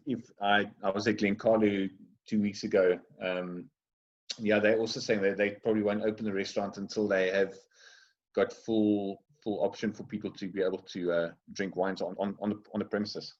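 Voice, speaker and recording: A man with a fairly low voice answers questions in a halting way, often stumbling over repeated words. The audio sounds dull and lo-fi, like a video call. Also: level tone. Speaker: wine trade guest. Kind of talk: casual interview answers.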